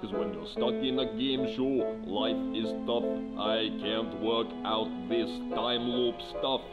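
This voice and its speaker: A man's voice: on the low side, steady tone, slow pace. A man playing a weary, defiant game show contestant held captive, in a musical comedy series. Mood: pleading, sad. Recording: dull lo-fi sound under loud music.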